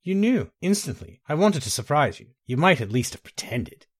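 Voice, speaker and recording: A man's voice: a little high, very animated, normal speed, husky, pitched above the performer's own voice. A man voicing a scheming usurper in love for a fantasy story, caressing, grandiose and insistent in a theatrical way. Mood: teasing, quiet.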